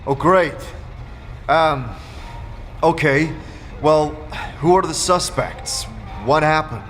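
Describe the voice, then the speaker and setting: A man's voice: a little high, steady tone, takes it slowly, a bit bright, soft background music, voice pushed up. A man voicing a bewildered outsider reluctantly investigating, questioning hesitantly, in a fantasy audio drama.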